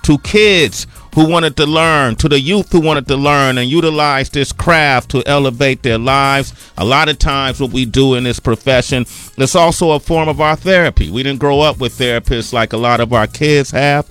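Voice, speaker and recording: A man hosting a radio show, earnestly and warmly introducing a guest in heartfelt terms. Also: mid-range voice, moderate pace, hardly any pauses, clear, resonant tone, faint music behind.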